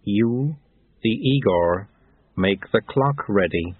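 A man performs a cold otherworldly official from a fantasy audiobook, menacing and without humour. He speaks in slow, clipped orders, husky and dry, through a thin band. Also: fairly low pitch, animated, no echo, narrow, tinny audio, heavily acted. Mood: cold, commanding.

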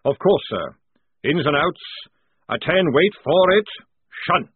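A man voicing a gruff, loud veteran army sergeant who barks orders. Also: medium pitch, big pitch swings, unhurried, dry recording, voice pushed up. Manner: barking orders. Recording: dry tinny phone-like sound.

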